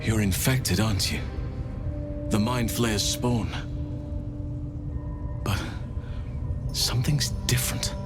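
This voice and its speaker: An actor playing a thoughtful druid scholar in a fantasy game, speaking formally and deliberately with grave concern. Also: male voice, fairly low pitch. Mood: grave, curious. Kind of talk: fantasy video game dialogue acting. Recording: music behind the voice.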